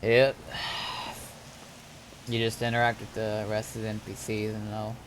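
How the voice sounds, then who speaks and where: A man's voice: on the low side, completely flat delivery, measured pace, noisy background. A man casually guiding newcomers through an online game, explaining step by step and somewhat hesitantly. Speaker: experienced game server player guiding newcomers.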